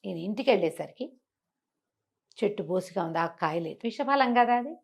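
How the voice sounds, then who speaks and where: A middle-aged woman telling a moral story in an interview, animated and acting out the characters' lines with a changing voice. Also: medium pitch, moderate pace, creaky. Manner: expressive, acts out the characters' lines with shifting voice. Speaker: storyteller guest.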